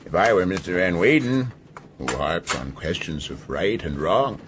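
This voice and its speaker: Domineering sea captain, swooping voice. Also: male voice, deep voice, animated, background noise. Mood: challenging, sardonic. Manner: sardonic, probing, authoritative, with deliberate hums. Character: domineering, mocking sea captain of a sealing schooner. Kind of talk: radio drama acting.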